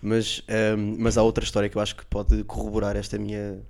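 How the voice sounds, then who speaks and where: A man's voice: on the low side, brisk, gravelly. A man with a European Portuguese accent co-hosting a podcast, chatting casually and telling comic stories with big laughs. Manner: animated, laughs loudly at his own stories. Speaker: podcast co-host. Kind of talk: casual comedic chat.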